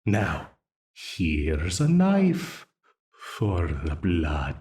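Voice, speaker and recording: A man playing a slow, mocking demon. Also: sits low, wildly swooping pitch, a little mellow, reverberant, full character voice. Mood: menacing, mocking. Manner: slow, taunting, with frequent laughs. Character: dominant scheming male demon, smug and menacing. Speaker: voice actor in an audio roleplay. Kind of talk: audio roleplay acting.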